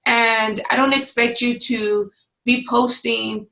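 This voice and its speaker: A woman in her forties, a fitness coach talking straight to her webcam from a small home office, firm and no-nonsense, her voice slightly hoarse. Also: fairly high pitch, fairly even intonation, slow pace, sharp tone, rough recording. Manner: firm, no-excuses, pep-talk delivery. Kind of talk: direct-to-camera coaching talk. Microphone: webcam at desk distance.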